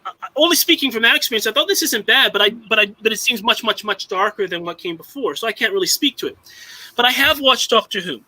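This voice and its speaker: A man's voice: sits high, animated, fast, pushed and bright, low-quality recording. A young man from Southern California chatting casually on a live stream, rambling with many restarts and fillers. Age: young adult. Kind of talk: casual chat.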